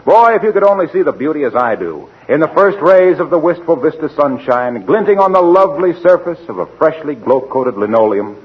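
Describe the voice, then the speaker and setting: A man acting as a cheerful salesman neighbour with smooth sales patter in a 1940s radio comedy. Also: a little high, very animated, fairly quick, hardly any pauses. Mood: chummy, eager. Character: cheerful salesman neighbour slipping in floor wax pitches. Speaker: announcer. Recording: dull, faintly noisy 1940s radio recording.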